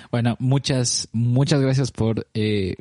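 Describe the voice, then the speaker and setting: A man's voice: medium pitch, fairly even intonation, takes it slowly, mellow, no echo. A young man hosting a casual podcast, speaking hesitantly and often repeating his words. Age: young adult. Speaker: podcast host.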